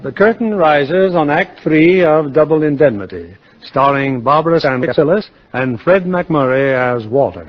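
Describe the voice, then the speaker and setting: A man's voice: fairly high pitch, animated, normal speed, background noise. A man announcing and narrating a radio drama in scripted 1950s style. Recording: muffled vintage radio, music behind.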